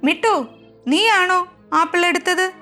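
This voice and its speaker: A woman voicing a male lion king of the forest, stern but fair, speaking in a measured, commanding, admonishing way for a children's story. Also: very high voice, sharp tone, faint music behind, voice pushed up, full character voice. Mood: stern questioning.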